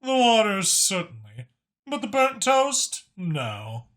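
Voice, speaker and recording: Haughty dragon official, acted by a male narrator: raised pitch, bright and forceful, dryly exasperated, formal audiobook delivery. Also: wildly swooping pitch, slow, exaggerated voice. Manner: precise, haughty, sardonic.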